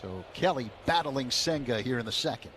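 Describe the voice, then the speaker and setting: A man doing radio play-by-play of a baseball game, measured and rising in energy on big plays. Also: mid-range voice, animated, fairly bright tone, slight background noise. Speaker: play-by-play announcer.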